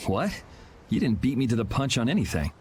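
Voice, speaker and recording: An actor playing a cool, wry young guild vigilante in a video game, speaking in a dry, casual, sardonic way. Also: male voice, mid-range voice, big pitch swings, a little fast, slight background noise, natural voice. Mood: casual, probing. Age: young adult.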